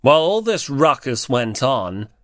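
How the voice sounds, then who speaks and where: A man narrating an audiobook story in a mid-pitched voice with very lively intonation. The recording is close and dry, and he reads from a script. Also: measured pace, dry recording.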